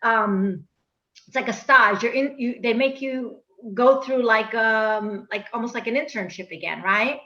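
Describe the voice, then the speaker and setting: A woman hosting a podcast interview, enthusiastic and animated, acting out little asides. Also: a little high, measured pace. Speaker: podcast host. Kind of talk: casual interview. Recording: muffled, echoey room audio.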